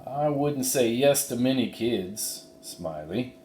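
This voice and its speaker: Male reader as tough, kindly storybook cowboy, folksy drawl, unhurried, roomy sound. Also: mid-range voice, expressive, a little mellow, slight background noise, pitched above the performer's own voice. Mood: folksy, commanding. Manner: folksy, drawn-out cowboy talk. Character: plain-spoken, tough but kindly cowboy horse herder.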